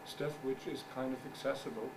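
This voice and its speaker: A middle-aged man with a German first language gives a conference presentation, halting and searching for his English words. His voice sits at an ordinary middle pitch. It is picked up from a distance, with steady noise in the background. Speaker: journal editor giving a talk. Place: conference room.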